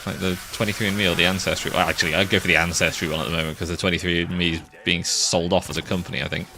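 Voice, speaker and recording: Young guy streaming a game, deep creaky voice, relaxed, loose with swearing, game noise underneath. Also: very fast. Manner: laid-back, swears casually, chuckles. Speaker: VTuber streamer. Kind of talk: casual gameplay chat with chat. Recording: game sound noise behind voice.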